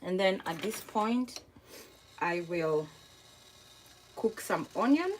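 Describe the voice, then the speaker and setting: A woman with a Kenyan accent giving a casual cooking demonstration to viewers, explaining step by step in a halting, unhurried way. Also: mid-range voice, lively intonation. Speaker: home cook hosting a cooking tutorial. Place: kitchen, indoors. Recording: noisy kitchen, sizzling frying sounds. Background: frying sizzle, stirring, clicks.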